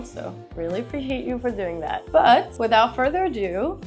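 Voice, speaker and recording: A young woman with a slightly hoarse, raspy voice after being sick, chatting casually and upbeat to camera from home, with many fillers. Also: mid-range voice. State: slightly hoarse from recent illness. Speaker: personal finance vlogger. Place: at home, seated with laptop and tea.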